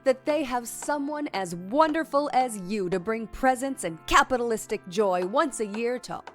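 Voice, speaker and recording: Woman playing an eager, high-voiced TV reporter. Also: lively intonation, moderate pace, soft background music, close to an everyday voice. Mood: gushing, cheerful. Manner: gushing, showy, chirpy. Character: over-eager, smarmy TV reporter. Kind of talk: campy audio play acting.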